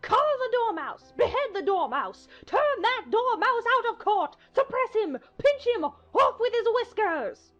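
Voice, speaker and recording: A woman's voice: sits high, huge pitch swings, unhurried, gravelly, few pauses, a little mellow, highs cut off, soft background music, full character voice. A woman playing an imperious, bossy, furious queen who shrieks orders in an audio drama. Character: shrill, imperious, furious queen barking executions.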